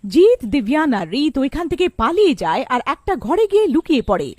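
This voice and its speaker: Female cartoon narrator: high, animated pitch, scripted children's storytelling, dry studio-like sound. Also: fairly quick, no echo.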